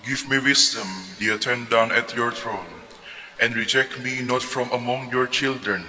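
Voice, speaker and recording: A man reciting psalms aloud in a measured, steady way during a prayer service. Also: mid-range voice, slight background noise. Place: large church. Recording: reverberant church hall.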